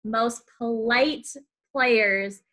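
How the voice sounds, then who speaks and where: A woman's voice: fairly high pitch, fairly even intonation, drawn-out words, sharp tone. A woman in her twenties hosting a podcast, chatting informally and often saying "like" and "y'all". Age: 20s. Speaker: podcast host. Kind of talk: casual chatty interviewing.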